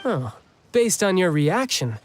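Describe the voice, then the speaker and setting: A man's voice: high voice, big pitch swings, slow, a little hiss or hum, exaggerated voice. A man voicing a young male warrior in a video game, casual and cocky, teasing yet protective. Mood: probing, evasive.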